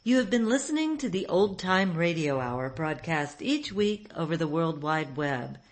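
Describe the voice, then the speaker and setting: A woman's voice: low-pitched, big pitch swings, moderate pace. A woman podcast host reading a scripted sign-off to listeners.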